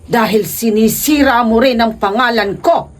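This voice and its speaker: An actress playing a scornful, sharp-tongued wealthy wife in a radio drama, sarcastic and haughty. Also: female voice, fairly high pitch, unhurried. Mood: indignant.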